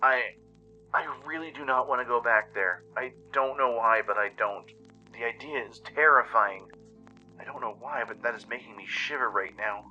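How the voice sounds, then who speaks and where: A man's voice: medium pitch, normal speed, pushed and bright, dull audio, soft background music, voice pushed up. A man voicing a frightened, bewildered young pony survivor in an audio drama, anxious and dramatic. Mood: afraid. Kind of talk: first-person audio drama narration.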